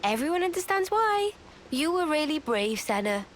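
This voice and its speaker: High-voiced, kindly young soldier. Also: female voice, big pitch swings, moderate pace, a little hiss or hum. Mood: reassuring. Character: kind, reassuring young female soldier. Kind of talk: video game cutscene acting.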